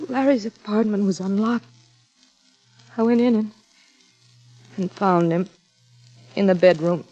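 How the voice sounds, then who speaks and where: On a dull old radio recording with music behind, an actress plays a frightened young woman under questioning. Her voice is dark and soft, dazed and halting, catching on her words in fifties crime drama manner. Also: mid-range voice, close to an everyday voice. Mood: shaken, evasive. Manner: hesitant, stammering. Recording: muffled vintage radio broadcast, music.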